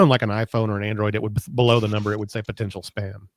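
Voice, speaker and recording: A man's voice: lowish voice, level tone, quick pace. An American man in a casual tech chat as a co-host, informal and wryly grumbling, with folksy wording. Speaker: podcast co-host.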